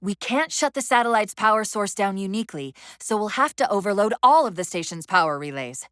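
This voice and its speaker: An actress playing a female military AI companion in a video game, urgent and witty but fraying under strain, with crisp tactical delivery and dry sarcasm. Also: mid-range voice, animated, fairly quick, fairly bright tone, dry recording, lightly acted. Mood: focused, briefing. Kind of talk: dramatic game voice acting.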